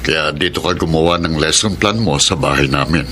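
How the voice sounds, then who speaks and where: A man playing a sympathetic, admonishing middle-aged uncle in a Filipino radio drama, measured and advising in delivery. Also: extremely low pitch, bright, forceful tone, slight background noise. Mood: sympathetic, grave. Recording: dramatic music behind voice.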